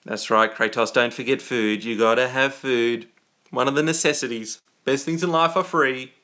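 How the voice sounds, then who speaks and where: A man's voice: medium pitch, steady tone, normal speed, sharp tone. An Australian man hosting a casual livestream, chatting in a rambling, jokey way and often interrupting himself. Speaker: livestream host.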